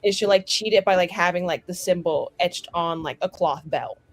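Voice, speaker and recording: A woman playing a tabletop game, describing her character casually in halting, filler-heavy speech. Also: fairly low pitch. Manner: hesitant, heavy use of "like". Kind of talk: casual chat.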